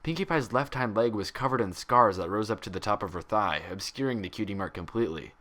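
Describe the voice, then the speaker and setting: A man reading fan fiction aloud as narrator, with dramatic, expressive scripted delivery. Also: lowish voice, fast talker.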